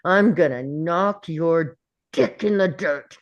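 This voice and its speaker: A woman playing a bullying, sarcastic male school principal in a script read, taunting with drawn-out contempt. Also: lowish voice, lo-fi sound. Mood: threatening. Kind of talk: table read of a film script.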